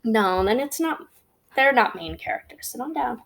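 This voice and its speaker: A woman's voice: a little high, expressive, moderate pace, a bit bright. A woman guiding young children through a storybook, asking simple questions as a teacher would. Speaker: teacher leading a children's read-aloud.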